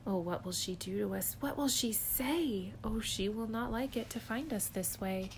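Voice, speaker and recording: A woman's voice: a little high, moderate pace, background noise, lightly acted. A woman voicing a fussy, fretful pet fish in a storybook reading, scolding, anxious and insistent.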